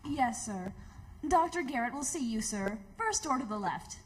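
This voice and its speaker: A woman's voice: a little high, animated, normal speed, close to an everyday voice. An actress playing a polite, efficient hospital switchboard operator in radio theatre, courteous and subdued.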